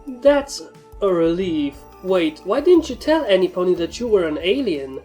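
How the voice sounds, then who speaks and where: A woman's voice: on the low side, very animated, normal speed, warm tone. A curious young male dragon from a cartoon fan story, voiced by a woman. He talks casually and full of questions, easily startled. Music plays behind him. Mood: curious, surprised.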